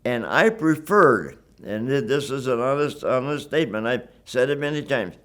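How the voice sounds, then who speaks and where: A man's voice: mid-range voice, animated, moderate pace, a bit bright. A man in his nineties, a war veteran, telling his story in a reflective interview, earnest and emphatic, often repeating and restarting his words. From Wisconsin, USA. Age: 90s. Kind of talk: reflective oral-history storytelling.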